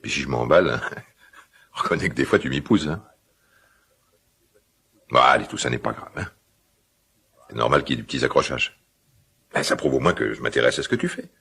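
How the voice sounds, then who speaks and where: An actor playing a gruff, quick-tempered but caring middle-aged father in a radio drama, with halting, repetitive, colloquial speech. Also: a bit bright, exaggerated voice. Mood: earnest, affectionate. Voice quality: deep, gravelly, husky. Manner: halting, repeats himself, blustering colloquial speech. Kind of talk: naturalistic French radio drama acting.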